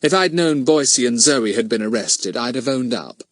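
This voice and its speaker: A man's voice: medium pitch, animated, normal speed, no echo, clean studio sound, lightly acted. Man acting a cornered, resentful culprit. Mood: defensive. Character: cornered male performer, confessing, resentful yet protective.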